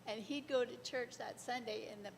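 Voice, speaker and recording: A middle-aged woman from the congregation telling a personal faith story to a church group as a lively storyteller. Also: moderate pace, creaky. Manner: lively storyteller, laughs between lines. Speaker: congregation member sharing testimony. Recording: far off in a large echoing hall, faint rumble.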